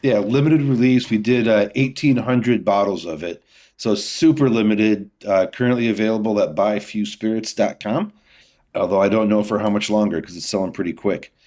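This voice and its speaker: Low-voiced man chatting casually. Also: normal speed, a little mellow. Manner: informal, explanatory, peppered with "you know". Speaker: guest, distillery founder and whiskey maker. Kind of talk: casual interview chat.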